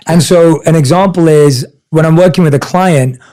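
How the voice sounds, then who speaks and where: A male podcast host with a somewhat high yet mellow, warm voice. He interviews his guest in an encouraging, affirming way. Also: moderate pace. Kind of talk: interview conversation.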